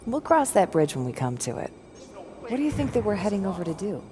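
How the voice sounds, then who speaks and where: An actress playing a practical, steady female colony official in a video game, questioning and reassuring. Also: medium pitch, fast, crowd noise, close to an everyday voice. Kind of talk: video game cutscene acting.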